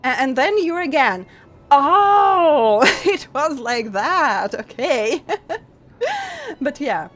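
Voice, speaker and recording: A young woman streaming a game and chatting casually with her viewers, giggling often and pausing to restart her sentences. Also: high-pitched, huge pitch swings, fairly bright tone, faint music behind. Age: young adult. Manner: giggly, halting with many pauses and restarts.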